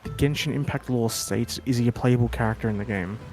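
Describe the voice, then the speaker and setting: Fast-talking casual male streamer. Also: mid-range voice, music in the background. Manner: slangy, joking. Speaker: live streamer. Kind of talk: casual reaction commentary chat.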